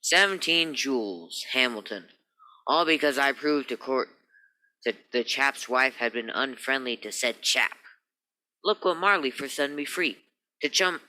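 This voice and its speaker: A man's voice: medium pitch, lively intonation, a little slow, pushed and bright, poor audio. A man playing a smug, preening business partner in an old-time radio crime play, speaking in a pompous, flowery way. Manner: pompous, flowery, mannered delivery.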